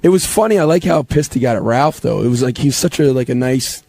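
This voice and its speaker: A man giving casual commentary on a radio show in halting bits and pieces. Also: medium pitch, animated, vocal fry, darkish tone.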